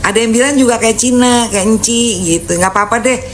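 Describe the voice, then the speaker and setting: A woman's voice: a little high, fairly quick, a little hiss or hum. A woman with a Jakarta Indonesian accent chatting casually and bluntly, with some sass, as she answers viewers on a live stream. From Jakarta (Betawi area), Indonesia. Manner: blunt, sassy, colloquial.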